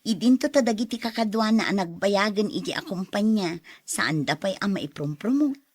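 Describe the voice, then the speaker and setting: An actress with an Ilocano Filipino accent playing an affectionate, hopeful wife in a radio drama. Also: female voice, mid-range voice, animated, moderate pace, darkish tone, slightly rough. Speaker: actor in an Ilocano audio drama. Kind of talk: acted radio drama dialogue.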